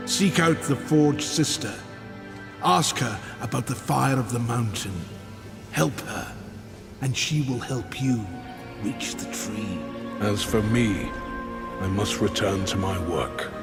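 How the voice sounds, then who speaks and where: An actor playing an ancient, weary old maker in a video game, speaking in a solemn, measured, foreboding way. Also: male voice, fairly low pitch, animated, vocal fry, heavily acted. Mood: grave, wise. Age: older. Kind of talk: dramatic video game acting. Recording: long cavernous reverb with music behind.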